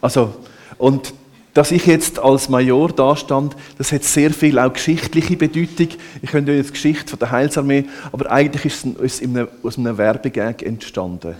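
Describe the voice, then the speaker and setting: A man with a Swiss German accent, a Salvation Army officer being interviewed during a church service, explaining in a relaxed, slightly rambling way. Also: medium pitch, moderate pace. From Norway and Switzerland.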